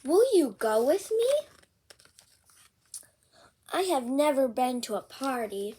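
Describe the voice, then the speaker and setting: A young female reader voicing an excitable young pig from a storybook, exclaiming emphatically as she reads aloud. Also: high-pitched, takes it slowly, breathy edge, exaggerated voice. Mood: hopeful. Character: excitable young piggy, eager for a party.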